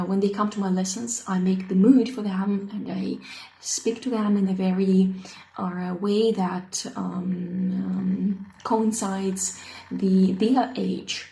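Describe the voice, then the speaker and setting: A woman's voice: medium pitch, creaky, dark tone, a little hiss or hum. Hesitant Russian-accented woman teacher. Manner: hesitant, many ums and uhs, searching for words. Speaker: English teacher. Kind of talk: unscripted self-introduction. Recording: echoey room.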